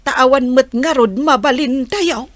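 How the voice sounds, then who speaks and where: A woman with an Ilocano accent acting a gentle village family role in a radio drama. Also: high voice, unhurried, dry recording. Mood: reassuring.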